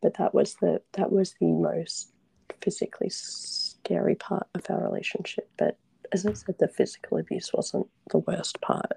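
A woman whispering a hard personal story, halting and restarting often, shaken and trembling as she talks. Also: lowish voice, fairly even intonation, creaky, mellow, slightly rough, poor audio. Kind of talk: whispered confessional conversation.

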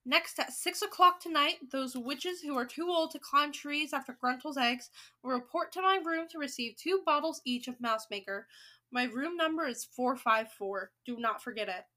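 Storybook villain: woman performs a tyrannical witch leader, high sharp voice, scornful, commanding. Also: normal speed. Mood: bossy. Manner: commanding, shouting and screeching.

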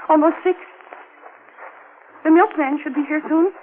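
An actress playing a frightened but resolute housewife in 1940s radio drama style, earnest and anxious. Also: female voice, sits high, a little slow. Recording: noisy, tinny old-time radio recording.

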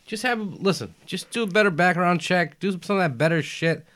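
A young man in casual conversation, emphatic and animated, dropping "man" and "dude" as he argues his point. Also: high voice, brisk. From United States, family from Albania. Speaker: podcast guest. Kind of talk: casual chat.

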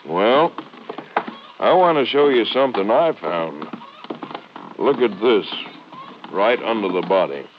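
Folksy Texas sheriff, old radio. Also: male voice, lowish voice, lively intonation, slow, pauses often. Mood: earnest, concerned. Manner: folksy, plain-spoken. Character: earnest small-town Texas sheriff. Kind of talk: 1950s radio drama acting. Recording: old muffled radio recording, faint noise.

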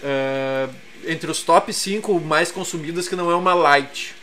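A man's voice: on the high side, unhurried, pushed and bright, faint music behind. A man with a Porto Alegre accent, co-hosting a podcast and chatting casually over beer, eagerly running through lists of brands. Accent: Porto Alegre Portuguese. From southern Brazil. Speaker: podcast co-host.

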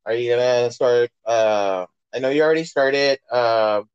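A man's voice: medium pitch, measured pace, clear, resonant tone, no room sound. A man hosting a casual phone interview, chatting informally and encouragingly. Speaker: interview host. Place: remote phone call. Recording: dull, lo-fi phone call audio.

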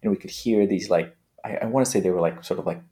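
Podcast guest: man, late 30s, from Afghanistan, very low voice, halting delivery. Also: mellow. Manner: halting, many restarts and fillers. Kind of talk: casual interview chat.

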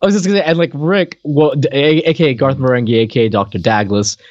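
A man's voice: medium pitch, fast talker, a little mellow, no room sound. Guy podcasting, close dry slightly dull sound, rambly casual talk w lots of likes. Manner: repeats words and says "like" constantly. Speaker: podcast host. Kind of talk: casual, digressive discussion of a show.

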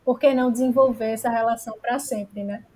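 Brazilian female nutritionist as podcast guest, high voice, conversational explainer with frequent tag questions. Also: fairly even intonation, a little fast, dark tone, slight background noise. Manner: peppers speech with tag questions seeking agreement.

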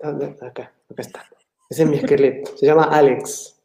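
A man's voice: fairly high pitch, level tone, normal speed, vocal fry, warm tone. A man hosting a casual live-stream talk, friendly and reading out viewer greetings. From Latin America, living in Mexico. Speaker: host of a live online talk. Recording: echoey room.

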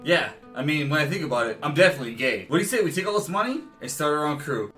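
A man's voice: a little high, very animated, racing, fairly bright tone, soft background music. A man playing a brash, blunt outlaw in a comic audio play.

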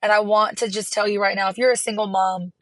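A hoarse woman, hosting her podcast from a parked car in an earnest, encouraging way. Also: mid-range voice, fairly even intonation, fast, fairly bright tone, dry recording. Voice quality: raspy. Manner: earnest, encouraging, rambling with restarts. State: hoarse from laryngitis. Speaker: podcast host. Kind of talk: casual solo podcast talk.

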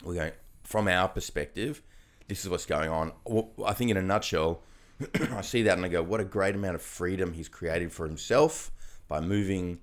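Deep-voiced male podcast co-host, relaxed and reflective. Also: normal speed, hardly any pauses. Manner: conversational, reflective, drops into quoted voices. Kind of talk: casual chat.

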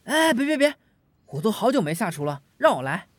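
A man's voice: high voice, big pitch swings, brisk, no room sound. A man in an audio drama playing a bubbly, eager, affectionate younger friend with an exuberant, chatty manner. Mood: cheerful. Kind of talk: Chinese audio drama acting.

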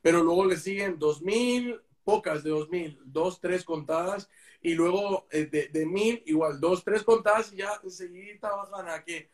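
A man explaining things in a casual conversation, often halting with repeated fillers. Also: sits high. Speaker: missionary.

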